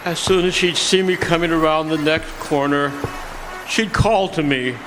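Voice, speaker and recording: A man's voice: on the high side, normal speed, over music, natural voice. An actor playing a grief-stricken, embittered night driver in a radio drama, stammering and repeating his words. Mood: wistful, grieving. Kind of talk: stage radio drama acting. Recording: live stage performance, long hall reverb.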